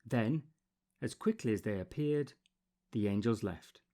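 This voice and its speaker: Man reading a children's storybook, slow and expressive. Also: lowish voice. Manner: deliberate, dramatic phrasing with pauses, repetition and emphasis. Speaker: storybook reader.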